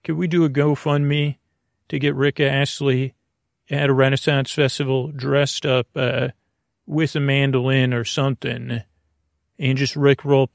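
A man with a creaky, gravelly voice at a middling pitch hosts a sleep podcast. He rambles haltingly, stopping and starting as he wanders off on tangents. The sound is dry and close, with no room around it. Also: no room sound. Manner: hesitant, halting, trailing off into tangents. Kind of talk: rambling, meandering bedtime monologue.

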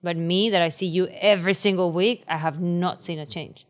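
Brief: woman podcast co-host, lowish voice, reassuring. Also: steady tone, moderate pace. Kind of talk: casual chat.

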